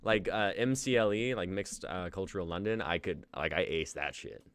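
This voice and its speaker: A man's voice: low-pitched, normal speed, a bit bright. A young man hosting a live reading stream, wrapping up in casual chat, laughing and trailing off with fillers. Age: young adult.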